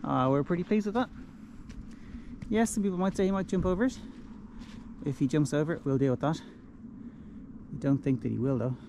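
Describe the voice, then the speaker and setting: A man's voice: on the high side, fairly quick, pauses often, dark tone, slight background noise. A man in his fifties narrates his fencing work in a cheerful, joking way, filmed outdoors in a rural garden on a handheld camera. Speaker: vlogger. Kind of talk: casual home-renovation vlog. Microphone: action camera held close.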